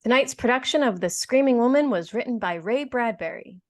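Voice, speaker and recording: A woman's voice: on the high side, expressive, brisk. A woman delivering scripted announcements and credits in an old-time radio style.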